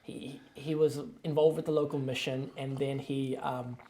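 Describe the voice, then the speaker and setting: A man's voice: medium pitch, level tone, normal speed, mellow. A young man with a New Zealand accent, a podcast guest talking loosely and conversationally, with plenty of ums and "you know"s. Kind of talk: casual interview chat.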